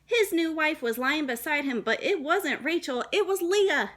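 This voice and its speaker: A woman, a young adult, reading a children's story aloud in a dramatic, deliberate way with shifts between emphasis and hush. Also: high voice, animated. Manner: dramatic, deliberate phrasing with hushed and emphatic moments.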